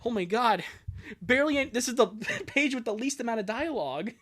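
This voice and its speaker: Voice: mid-range voice, lively intonation, a little fast, fairly bright tone. A streamer chatting casually between dub readings, rambling and exclaiming with giggly joking asides.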